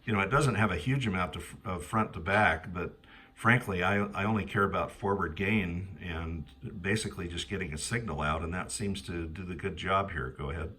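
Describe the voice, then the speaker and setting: American male ham operator, very low but crisp-edged bright voice, halting casual radio chat.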